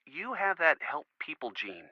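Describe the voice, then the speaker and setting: A man's voice: on the high side, huge pitch swings, normal speed, dry recording, lo-fi sound. A man playing an earnest, devoted college-age boyfriend in a video game, boyish and a little rambling, as if talking on the phone. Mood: earnest, tender.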